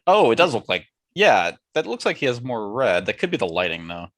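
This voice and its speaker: A man's voice: mid-range voice, very animated, a little fast, a bit bright. A man co-hosting a casual talk show, chatting in an opinionated, wry way. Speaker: podcast co-host. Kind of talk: casual banter about movies.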